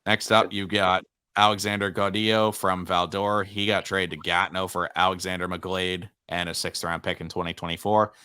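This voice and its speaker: Podcast co-host, young man: low pitch, dry close sound, casual and joking. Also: flat delivery, moderate pace, bright, forceful tone, clean tone, dry recording. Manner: easygoing, playful teasing. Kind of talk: casual sports podcast chat.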